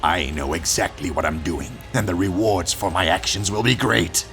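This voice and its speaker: A man's voice: low voice, moderate pace, over loud music. A man playing a fanatical old villain, grandiose and righteous, preaching pompously in a comic dub. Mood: menacing, proud. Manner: pompous, preaching, theatrical delivery. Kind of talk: dramatic comic dub acting.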